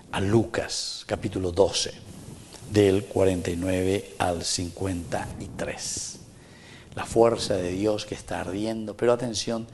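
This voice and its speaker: Middle-aged paraguayan priest preaching, gravelly low voice, some faint hiss. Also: male voice, darkish tone. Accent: Paraguayan Spanish. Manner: earnest, repetitive preaching with rhetorical questions. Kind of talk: homily at Mass. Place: small chapel with wooden walls, indoors.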